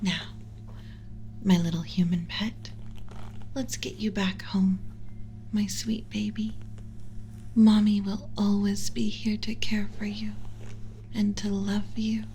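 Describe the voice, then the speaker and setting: A woman voicing a doting alien scientist mother in a soft, breathy ASMR whisper, speaking with slow, soothing, formal tenderness. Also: medium pitch, level tone, a little hiss or hum, low-quality recording. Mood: tender, doting. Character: doting female alien scientist mother, gently possessive of human pet.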